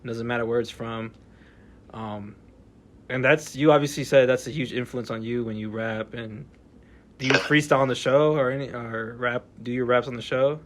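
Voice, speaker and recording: A man's voice: medium pitch, normal speed. A man hosting a casual podcast, talking in laid-back slang and asking his guest questions. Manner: laid-back slang, animated when quoting. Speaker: podcast host.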